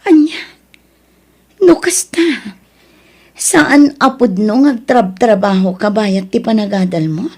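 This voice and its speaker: A woman's voice: medium pitch, takes it slowly, pauses often, a little mellow. A woman with an Ilocano Filipino accent playing an inquisitive elder female relative in an audio drama. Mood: shocked.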